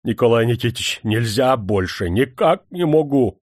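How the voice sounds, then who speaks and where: A man's voice: lowish voice, little pitch movement, unhurried, darkish tone, no echo, clean studio sound. A man voicing a harried, irascible estate steward in a historical audiobook, exasperated, querulous and sarcastic.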